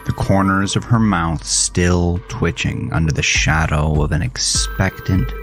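A man narrating a scripted horror thriller in a dramatic, deliberate manner. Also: deep voice, over music.

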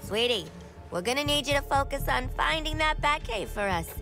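Sassy villainess, animated and high. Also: female voice, moderate pace, over music. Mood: coaxing. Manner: sassy, cajoling. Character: brash, coaxing villainess handling a child. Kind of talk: video game cutscene acting.

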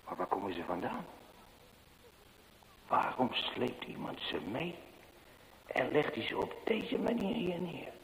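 A man's voice: mid-range voice, huge pitch swings, measured pace, pauses often. An actor playing a bold, curious man in a radio play, intent and decisive as he leads the way. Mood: intrigued. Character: bold, curious man leading the way through crypt. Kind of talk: Dutch radio play acting. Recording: echoey, hissy old radio recording.

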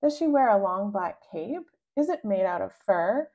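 A woman voicing an excitable, pesky little girl who fires off eager questions. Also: extremely high pitch, dull audio, voice pushed up, heavily acted. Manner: rapid-fire eager questioning.

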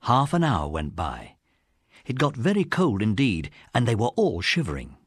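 A man's voice: lowish voice, huge pitch swings, normal speed, slightly rough, no room sound, full character voice. A man with an English accent narrating a children's adventure audiobook as a scripted story.

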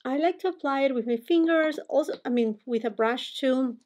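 A woman in her forties from Peru with a Peruvian Spanish accent, chatting through a makeup tutorial at home, animated as she applies products. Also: high voice, moderate pace. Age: 40s. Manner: animated, gestures a lot while talking. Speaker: beauty vlogger. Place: home room with holiday decor.

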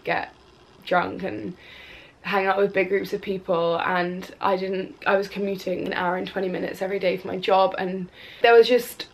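A woman's voice: medium pitch, little pitch movement, moderate pace, low-quality recording. A woman in her twenties answering viewer questions to camera in a casual, rambling way, sounding stuffy from a cold. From Wales. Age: 20s. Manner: chatty, rambling with fillers and restarts. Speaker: cook and influencer. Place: bedroom at home, indoors. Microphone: camera on a tripod in front of her.

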